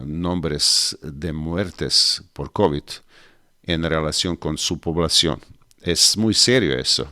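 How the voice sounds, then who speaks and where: A man hosting an interview in a language that is not his first, speaking haltingly with many pauses and fillers. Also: sits low, a bit bright, no room sound, studio quality. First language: not Spanish. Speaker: host. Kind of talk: interview podcast. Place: home, video call.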